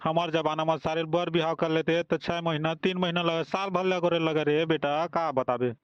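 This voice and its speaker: A man's voice: fairly high pitch, fairly even intonation, normal speed, clear, resonant tone, dry recording, highs cut off, close to an everyday voice. A man voicing a grumbling but affectionate old village grandfather in a comic cartoon, with a Chhattisgarhi accent and a rambling, folksy way of talking. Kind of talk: comic dialogue in an amateur animated cartoon. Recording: music behind the dialogue.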